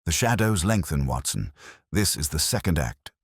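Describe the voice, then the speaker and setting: A man's voice: very deep voice, big pitch swings, normal speed, slightly rough, no room sound, studio quality, full character voice. A man voicing a grave, brilliant detective in an audiobook story, with clipped, solemn, theatrical pronouncements and a scornful edge. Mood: grim, ominous.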